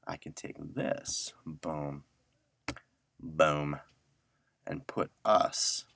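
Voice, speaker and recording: A man's voice: rumbling low, fairly even intonation, extremely slow, creaky, a bit bright. A man giving a casual audio editing tutorial, informally muttering to himself as he works. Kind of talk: casual screen-recorded software tutorial.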